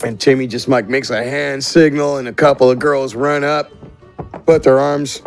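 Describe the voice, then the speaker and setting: A man's voice: medium pitch, steady tone, normal speed, soft background music. A man running a tabletop roleplaying game as game master, improvising narration in an informal, conversational way.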